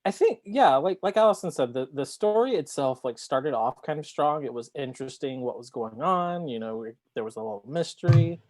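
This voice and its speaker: A man giving his views in a casual book discussion over a video call, in a tentative, halting way. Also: a little high, expressive, darkish tone. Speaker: podcast panelist.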